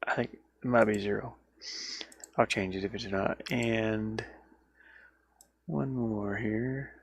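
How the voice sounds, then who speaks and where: A man's voice: on the low side, steady tone, takes it slowly, creaky, breathy edge. A man in casual solo livestream chat, rambling and muttering as he thinks aloud while working, recorded inside a van. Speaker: livestreamer and indie game developer.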